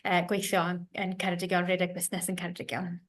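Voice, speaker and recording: Woman, online panel host, measured, flat intonation, video call. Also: on the low side. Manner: measured, moves between Welsh and English. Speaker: host and session facilitator.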